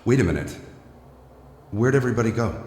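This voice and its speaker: A male actor as a powerful businessman coming apart with dread in a horror audio play. His voice is theatrical and haunted, and its pitch swings widely. Atmospheric sound sits behind him. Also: lively intonation, fairly quick, a little mellow, slight background noise, close to an everyday voice. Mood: alarmed. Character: powerful businessman trapped in a stairwell, unravelling in dread. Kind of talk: horror audio drama, first-person narration and monologue.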